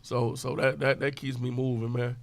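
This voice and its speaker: A man's voice: mid-range voice, flat delivery, normal speed, gravelly, no echo. A man in his forties from with a drawl, talking earnestly and emphatically as a podcast guest in casual street slang. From Houston, Texas. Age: 40s. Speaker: podcast guest, club owner. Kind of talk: casual conversation.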